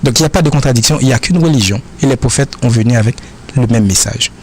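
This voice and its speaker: A man's voice: mid-range voice, slightly rough. Earnest Haitian man answering questions. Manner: earnest, repetitive, halting phrasing.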